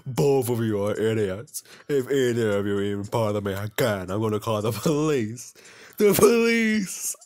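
A man's voice: lowish voice, expressive, normal speed, a little mellow, lightly acted. A man voicing a bratty, indignant rich girl from an anime visual novel. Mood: scornful, angry. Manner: indignant, scornful.

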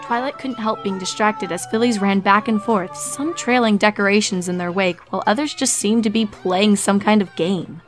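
A woman's voice: medium pitch, normal speed, hardly any pauses, soft background music. A woman narrator reading a scripted story expressively.

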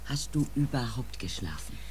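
Low-voiced, sharp-tongued mother. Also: expressive, moderate pace, a little hiss or hum, low-quality recording. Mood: worried. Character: middle-aged mother, sharp-tongued, worried, divorced. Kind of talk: radio play acting.

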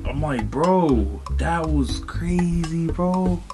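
A young American man with a fairly high voice gives casual reaction commentary. He is excitable and slangy, and music plays clearly behind him. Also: drawn-out words. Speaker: reaction video host. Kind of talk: casual reaction commentary with slangy chat and on-screen text read aloud. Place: indoor video set with green screen.

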